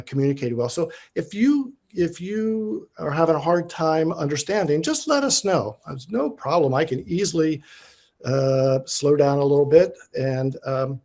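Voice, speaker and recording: A man giving an informal academic presentation as a guest lecturer. He is enthusiastic and tries to speak slowly and deliberately, though his speech has many fillers and restarts. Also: fairly high pitch, dark tone. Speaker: guest lecturer, diatom researcher.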